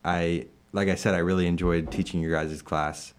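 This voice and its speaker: Man, 20s, very low pitch, from Illinois; halting casual interviewee who laughs easily. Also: fairly even intonation, smooth. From Illinois, USA. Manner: halting, many fillers and restarts, laughs often. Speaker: interviewed staff member.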